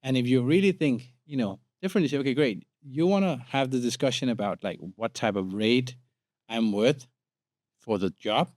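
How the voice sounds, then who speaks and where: A man's voice: medium pitch, a little mellow, dry recording. Danish-accented man, dry close sound. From Denmark. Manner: informal, halting with repeated words. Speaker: podcast guest, consultant. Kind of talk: casual conversational interview.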